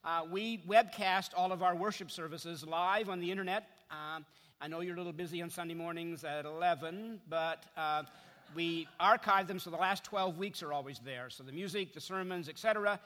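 A man's voice: a little high, normal speed, fairly bright tone, in a large hall. A middle-aged Canadian man preaching as a guest pastor, telling self-deprecating, chatty stories to his congregation. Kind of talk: sermon with humorous stories.

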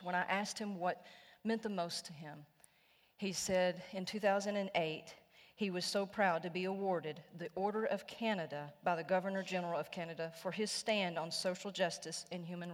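A woman's voice: lowish voice, long reverb tail. A woman pastor giving an earnest, admiring introduction of a guest preacher. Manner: earnest, admiring, some fillers. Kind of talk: formal introduction.

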